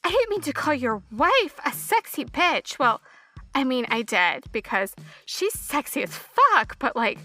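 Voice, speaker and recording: A woman's voice: sits high, big pitch swings, measured pace, sharp tone, soft background music, full character voice. A woman playing a brash, foul-mouthed young hitchhiker, loose, tipsy and teasing, in an audio drama. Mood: sheepish, giddy. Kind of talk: full-cast audio drama acting.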